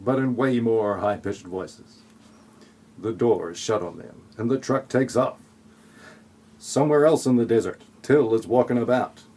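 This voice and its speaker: A man's voice: on the low side, darkish tone. A man reading scripted comic narration for an audio play in a wry, flippant way.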